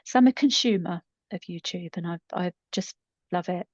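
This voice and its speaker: A mature woman in her fifties talks casually as a podcast co-host, with a slightly low voice. She rambles chattily and pokes fun at herself. The sound is a little dull and lacking in top end. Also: moderate pace, darkish tone. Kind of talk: casual conversational storytelling. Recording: muffled, roomy echoing recording.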